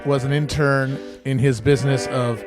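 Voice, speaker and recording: A man's voice: mid-range voice, flat delivery, normal speed. A man playing a tabletop game, giving a comic introduction of his character. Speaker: player in a comedy tabletop game. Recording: clear music bed under the voice.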